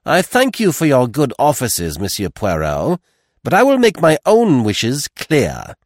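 Man voicing a commanding, icy older mother, pitched high. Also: wildly swooping pitch, no echo, studio quality. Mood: icy, resolute. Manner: imperious, slow, declamatory.

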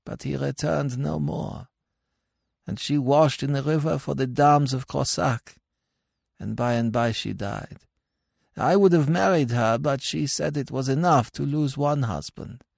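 Man playing old dead villager, sorrowful, lifted pitch, archaic phrasing, resigned, storybook ghost tale. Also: gravelly, dry recording, clean studio sound. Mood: mournful. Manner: slow, mournful, archaic speech. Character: mournful sixty-year-old dead Breton villager recalling losses. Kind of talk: dramatic storybook reading.

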